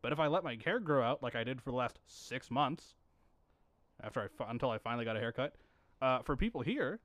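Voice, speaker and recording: A young man co-hosting a podcast, telling animated stories and laughing often. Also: medium pitch, brisk, no room sound. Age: young adult. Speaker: podcast co-host. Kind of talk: casual chat.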